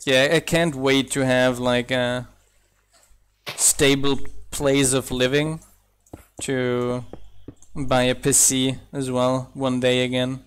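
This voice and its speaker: Man with slight German accent, slow. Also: mid-range voice, flat delivery, a little hiss or hum. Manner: chatty, thinks aloud with restarts and laughs. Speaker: mod developer streaming gameplay. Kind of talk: casual live-stream chat while playing.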